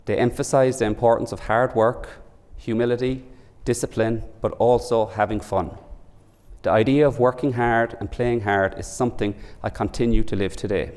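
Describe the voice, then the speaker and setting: A man in his forties with a Cork Irish accent, giving a formal, measured lecture as a professor from a prepared speech. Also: medium pitch, fairly even intonation, darkish tone. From Ireland. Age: 40s. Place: large lecture hall. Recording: reverberant lecture hall.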